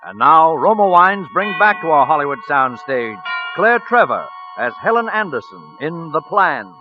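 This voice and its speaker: A man's voice: a little high, big pitch swings, moderate pace. A man announcing a suspense show in dramatic 1940s radio style. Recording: muffled 1940s radio, music behind.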